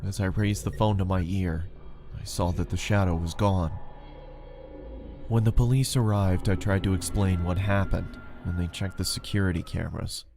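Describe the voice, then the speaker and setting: A man's voice: sits low, steady tone, moderate pace, creaky, music in the background. A man reading a scripted first-person horror story as its narrator.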